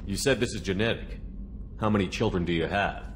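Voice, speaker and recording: Man playing a low-voiced, steady military commander. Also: brisk, a little hiss or hum, natural voice. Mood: earnest, sympathetic. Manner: terse, earnest, no-nonsense. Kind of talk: dramatic video game acting.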